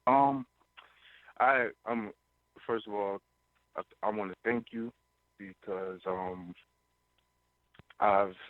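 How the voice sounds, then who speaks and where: A hesitant man in his twenties speaks as a caller seeking advice. His voice sits a little low and comes in halting phrases. It is heard through a thin, narrow phone line. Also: sing-song, dry recording. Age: 20s.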